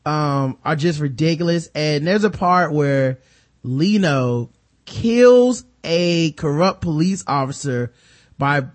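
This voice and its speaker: Higher-voiced American man swearing through casual banter. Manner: animated storytelling, acting out mock dialogue. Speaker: movie review podcast host. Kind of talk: casual, profane film discussion.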